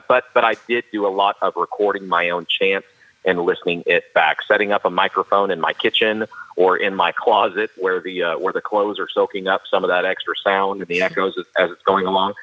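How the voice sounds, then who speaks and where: A man with a carrying baritone voice, a professional auctioneer giving earnest, detailed interview answers with frequent restarts. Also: lo-fi sound. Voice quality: baritone voice that carries. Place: studio.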